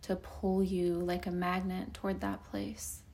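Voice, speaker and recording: A woman leading a guided meditation in a slow, soothing delivery, with long pauses and softly breathy phrase endings. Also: fairly low pitch, completely flat delivery.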